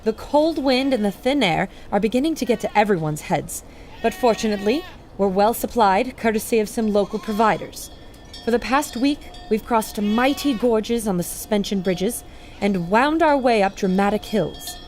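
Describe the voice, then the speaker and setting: An actress playing an earnest, wonder-struck radio reporter narrating an expedition in old-time serial style. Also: female voice, medium pitch, lively intonation, normal speed, darkish tone, slight background noise, lightly acted. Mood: earnest reporting. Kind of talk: 1930s radio reporting acting.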